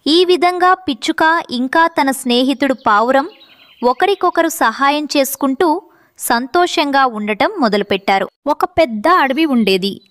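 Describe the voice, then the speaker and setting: A woman's voice: sits high, measured pace, fairly bright tone, dry recording. A woman narrator reading a scripted moral story for children.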